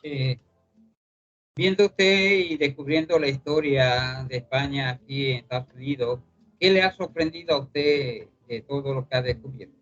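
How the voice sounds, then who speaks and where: A man's voice: medium pitch, normal speed, a bit bright. A man hosting a formal interview, addressing the guest politely and formally. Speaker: interviewer and host. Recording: dull lo-fi sound, faint music.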